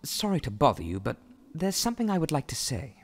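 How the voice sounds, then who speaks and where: A man voicing a polite, conflicted young man in a cartoon parody, speaking formally and haltingly. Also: a little high, very animated, vocal fry, heavily acted. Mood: apologetic.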